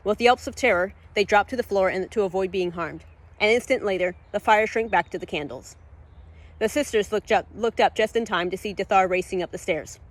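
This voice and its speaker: A woman's voice: medium pitch, brisk, dry recording. Woman narrating a dramatic fantasy story. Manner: expressive, stumbling and rereading phrases. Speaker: author reading own novel aloud.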